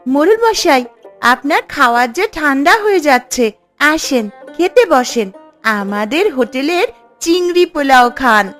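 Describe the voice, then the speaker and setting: A woman's voice: high-pitched, expressive, moderate pace, a bit bright, soft background music. A woman voicing a dutiful, eager young village girl in a cartoon moral story. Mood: cheerful, polite.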